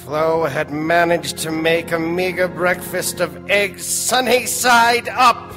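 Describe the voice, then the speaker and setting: A man playing an eerie, slyly macabre doctor who narrates an old-time radio horror serial in a theatrical, drawn-out, ominous way. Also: on the high side, fairly even intonation. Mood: storytelling. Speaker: narrator and host of a mystery serial. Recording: reverberant stage hall, music behind.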